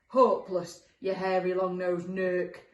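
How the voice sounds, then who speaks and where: Woman doing a blunt, slow monster. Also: fairly low pitch, animated, highs cut off. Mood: scornful. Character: second monster, male, gruff, scornful, insulting. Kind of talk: children's storybook character voice. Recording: roomy, echoey sound.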